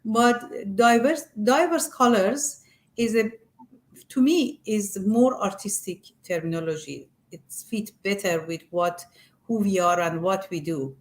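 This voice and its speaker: A middle-aged or older woman from Iran with a Persian accent, speaking non-native English haltingly and searching for words as she explains her work in an interview. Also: medium pitch. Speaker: arts group representative being interviewed.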